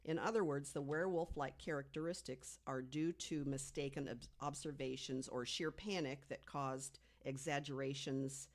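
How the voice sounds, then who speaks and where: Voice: medium pitch, steady tone, normal speed, few pauses. An American podcast co-host telling a researched story in a conversational way, partly from prepared notes.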